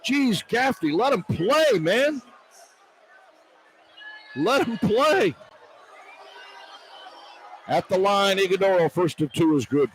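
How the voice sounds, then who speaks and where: High-pitched excitable male basketball play-by-play announcer. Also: takes it slowly, pauses often. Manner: excitable, partisan, clipped running description of play. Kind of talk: live basketball radio play-by-play commentary. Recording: arena noise behind broadcast commentary. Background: arena crowd ambience.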